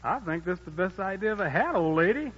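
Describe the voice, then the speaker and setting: An earnest young army corporal. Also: male voice, high voice, quick pace, in a large hall. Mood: pleased. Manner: plain folksy dialect, steady and respectful. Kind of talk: 1940s radio musical drama. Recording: dull vintage 1940s radio broadcast with music.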